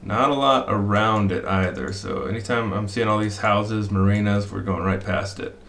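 Middle-aged guy walking through a screen share, kinda low voice, lots of um and uh, echoey room. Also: normal speed, fairly bright tone, a little hiss or hum. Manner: explanatory, thinking aloud with fillers. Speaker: fishing spot analyst. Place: small room at a desk.

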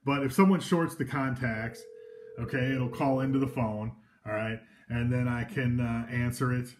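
A man's voice: medium pitch, steady tone, normal speed, a little hiss or hum. Casual man demoing gear off camera. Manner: casual, loose, with frequent fillers and restarts. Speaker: equipment demonstrator. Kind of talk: informal product demo. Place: indoors at a table of devices.

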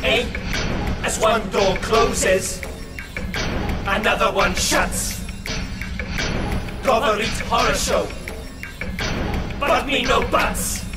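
An actor playing a brash young hoodlum, chanting violent slang verse rhythmically in a radio drama. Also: male voice, high-pitched, very slow, pauses often, over loud music. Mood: brutal glee.

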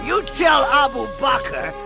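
A man playing a cruel, sneering Arabian nobleman villain in an animated film, with a taunting, drawn-out delivery. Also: extremely high pitch, creaky, loud music behind the voice, exaggerated voice. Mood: mocking, gloating.

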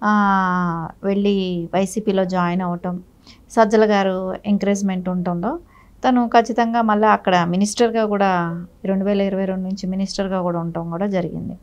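A woman's voice: mid-range voice, fairly even intonation, a little fast, pauses often, a little mellow, muffled recording. A middle-aged woman giving critical, argumentative political commentary in a studio interview, often posing rhetorical questions. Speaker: political party spokesperson, interview guest. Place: TV news studio, indoors.

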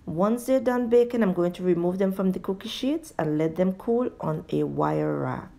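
A woman's voice: fairly low pitch, lively intonation, moderate pace, creaky, dark tone. A woman giving a step-by-step cooking tutorial as a voice-over, addressing viewers directly as a home cooking host.

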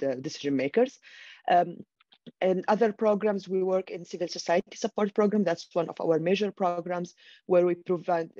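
Syrian woman on a webcam call from home, mellow voice, lots of ums, dull dry sound. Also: on the low side, no echo. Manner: hesitant, many fillers. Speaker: panelist, researcher. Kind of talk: unscripted self-introduction. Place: home room with plants, indoors. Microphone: laptop webcam video call. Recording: dull lo-fi video call audio.

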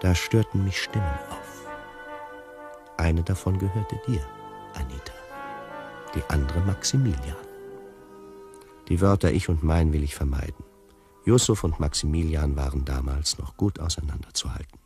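An actor playing a wry, fastidious tiger who narrates a radio drama in a measured, ironic, formal way. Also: male voice, a bass voice, dark tone, faint music behind. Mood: wry, composed. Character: wry, fastidious male tiger recounting his escape.

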